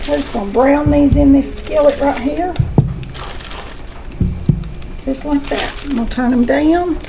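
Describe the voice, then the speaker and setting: High-pitched, creaky Southern American woman. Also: moderate pace, very noisy. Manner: folksy, chatty. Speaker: home cooking vlogger. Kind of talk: casual cooking demonstration chat. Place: home kitchen.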